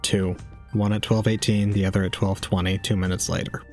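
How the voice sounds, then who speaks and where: Man acting a low, monotone, terse young man. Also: a little fast, faint music behind. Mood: flat, matter-of-fact. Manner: flat, precise, deadpan. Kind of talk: audio drama acting.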